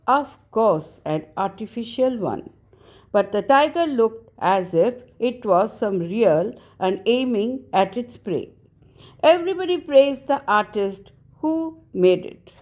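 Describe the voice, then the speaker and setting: Slow, higher-pitched woman storyteller. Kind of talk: scripted folk tale narration.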